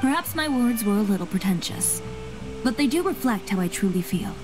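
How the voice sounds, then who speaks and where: Woman playing proud young designer lady, game dialogue, composed n blunt, mid pitch, music under it. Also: lively intonation, brisk, lightly acted, rough recording. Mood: assured. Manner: composed, matter-of-fact. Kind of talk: video game voice acting.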